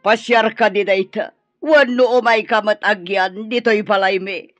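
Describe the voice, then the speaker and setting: A woman's voice: mid-range voice, measured pace, fairly bright tone, no room sound, faint music behind, lightly acted. An actress playing a harsh, scornful middle-aged mother-in-law in an Ilocano radio drama, scolding and demanding with an Ilocano accent. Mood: cold, sarcastic.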